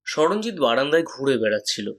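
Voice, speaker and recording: A man's voice: mid-range voice, sing-song, fast talker. A man narrating a scripted detective story as its reader.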